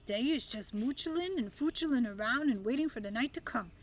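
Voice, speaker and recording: A woman's voice: a little high, lively intonation, normal speed. A woman voicing a big friendly male giant in a storybook, with garbled folksy grammar and made-up words. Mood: matter-of-fact.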